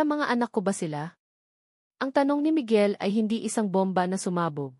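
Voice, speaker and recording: A woman narrating a scripted romantic drama in deliberate, dramatic short phrases. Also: medium pitch, darkish tone, dry recording, clean studio sound.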